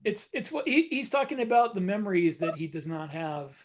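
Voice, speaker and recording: A man's voice: high voice, sing-song, fairly quick, crowd noise. A man co-hosting a podcast, opinionated and rambling, often searching for words. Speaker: podcast co-host. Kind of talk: casual podcast discussion.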